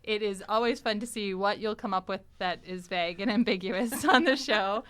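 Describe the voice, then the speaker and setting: A woman hosting a casual panel conversation in an animated, joking way, laughing easily. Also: medium pitch, normal speed. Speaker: podcast host.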